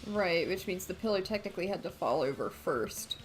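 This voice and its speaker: A woman's voice: lowish voice, lively intonation, fairly quick, soft background music, rough recording. A woman streaming a game, chatting casually as she plays. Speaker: streamer playing a visual novel. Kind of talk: casual chat while gaming.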